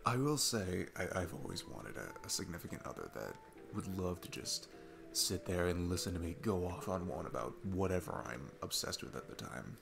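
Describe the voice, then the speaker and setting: Sweet, talkative date-night dad played by a man for audio roleplay: low-pitched, halting, enthusiastic, music underneath. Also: big pitch swings, creaky, full character voice. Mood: playful, affectionate. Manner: rambling, enthusiastic, halting with many restarts. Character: sweet, chatty single dad on a first date. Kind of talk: ASMR audio roleplay, casual rambling talk.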